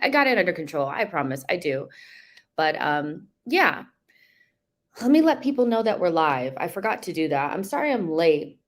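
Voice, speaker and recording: A young woman hosting a casual live stream, chatty and upbeat, with many fillers. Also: low-pitched. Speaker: host.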